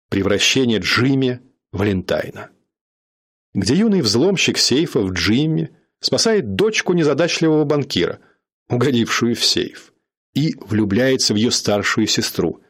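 A man narrating a radio drama in a measured, dramatic storytelling manner. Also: mid-range voice, a bit bright.

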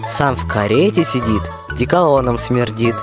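A man's voice: mid-range voice, lively intonation, moderate pace, music in the background, close to an everyday voice. A man playing a jaunty folk storyteller, reciting rhymed narration in a radio fairy tale. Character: jaunty folk storyteller announcing the tsar's procession.

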